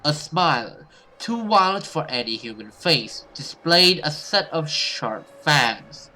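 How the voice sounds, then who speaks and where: A man doing expressive let's play commentary and dramatic read-aloud of a horror visual novel as a gaming host. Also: on the high side, slow, rough recording. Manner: expressive, reactive, sweary.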